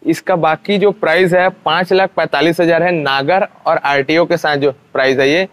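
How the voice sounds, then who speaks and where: A man's voice: a little high, steady tone, moderate pace. A young man from India, giving an informal, repetitive and explanatory sales walkaround as a vlogger. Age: young adult. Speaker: vlogger presenting used tractors. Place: outdoors at a roadside tractor dealership.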